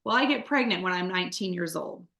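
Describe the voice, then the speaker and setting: Bright-voiced woman telling her story. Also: on the low side, fast, echoey room. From Midwest United States. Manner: candid, chatty, many fillers and restarts. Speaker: guest. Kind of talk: conversational testimony.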